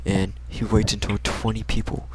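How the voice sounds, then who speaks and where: A man's voice: lowish voice, little pitch movement, moderate pace, background noise. A young American man casually telling a personal story in a rambling, slangy, hesitant way. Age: young adult.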